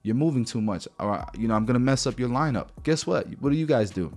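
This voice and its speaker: A man's voice: mid-range voice, lively intonation, fast talker, a little mellow. A man in his thirties giving a blunt, direct finance lesson to camera from a small home studio, speaking close to a podcast mic. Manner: direct, blunt, repeats "guys" and "right". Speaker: barber business mentor. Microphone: podcast mic near mouth.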